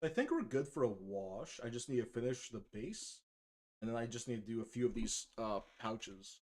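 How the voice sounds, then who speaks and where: A man's voice: mid-range voice, normal speed. A man with a slight Polish accent, chatting casually and rambling while painting miniatures on a live stream. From United States, raised speaking Polish. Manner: relaxed, rambling, many fillers and restarts.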